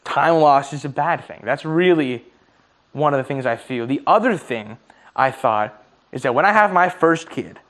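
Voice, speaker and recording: A man's voice: fairly high pitch, expressive, normal speed, fairly bright tone. A man in his early twenties musing aloud in a casual monologue, swearing freely and laughing at his own slips, his voice starting to tire. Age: 20s. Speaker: vlogger.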